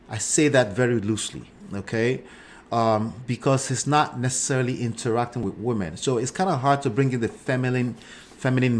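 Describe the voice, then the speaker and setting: A man's voice: mid-range voice, slight background noise. A man in his forties with a Nigerian accent hosts a live show, giving casual commentary and often checking in with short tags like "right" and "okay". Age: 40s.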